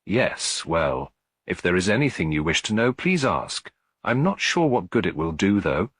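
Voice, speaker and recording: A man's voice: low voice, big pitch swings, moderate pace, fairly bright tone, no echo, clean studio sound, heavily acted. A man voicing a tired, strained middle-aged widow in an audiobook, cool, guarded and composed. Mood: resigned, guarded. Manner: clear but weary, composed. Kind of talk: audiobook character dialogue.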